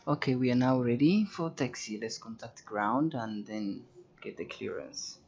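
A man giving halting, think-aloud flight simulator commentary and pilot radio calls. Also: mid-range voice, a little mellow, highs cut off, slight background noise. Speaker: host, virtual pilot.

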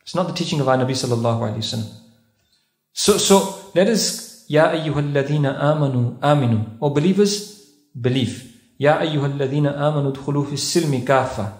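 Guy preaching to a crowd in a mosque, kinda high voice, asks the room questions, lots of echo. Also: brisk. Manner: rhetorical questions to the audience, recites Arabic verses. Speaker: visiting speaker at a mosque conference. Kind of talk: sermon-style lecture. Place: carpeted mosque prayer hall, indoors. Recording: echoey mosque hall.

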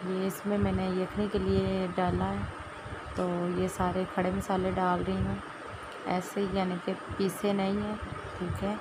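A woman walks through a home cooking recipe step by step, often checking with a quick "theek hai". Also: mid-range voice, flat delivery. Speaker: cooking channel host. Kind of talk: casual cooking demonstration. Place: home kitchen, over a stove. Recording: loud kitchen noise behind, sizzling frying.

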